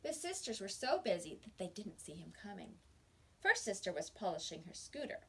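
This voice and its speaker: A woman teacher reading a picture book aloud to young children with expressive storytelling and dramatic pauses. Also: medium pitch, husky. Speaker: kindergarten teacher.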